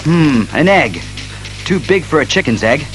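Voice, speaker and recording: A man playing a stern, analytical masked detective, speaking resolutely and deliberately in a superhero audio drama. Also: on the high side, animated, fairly bright tone, soft background music. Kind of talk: superhero radio drama acting.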